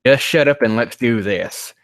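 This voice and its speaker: A man's voice: mid-range voice, a little slow, voice pushed up, close to an everyday voice. Man reading as a brash, bickering young monster trainer. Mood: indignant. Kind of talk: dramatised fan fiction reading.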